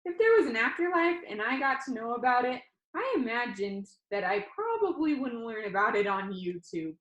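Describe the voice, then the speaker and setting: A woman's voice: a little high, big pitch swings, normal speed, a bit bright, lo-fi sound. A woman reading a first-person novel aloud as narrator. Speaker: audiobook reader. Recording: echoey room.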